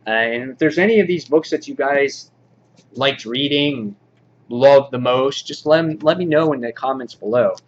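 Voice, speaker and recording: A man giving an informal, halting show-and-tell commentary as a hobby vlog host, in an understated way. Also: medium pitch. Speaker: vlog host showing a comic book collection. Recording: dull lo-fi audio, clear background noise.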